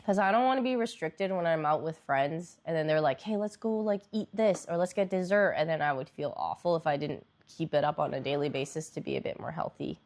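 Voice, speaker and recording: A woman's voice: low voice, fairly quick, hardly any pauses, a little mellow. A young woman streamer chatting casually with viewers, congested and nasal, rambling with lots of fillers.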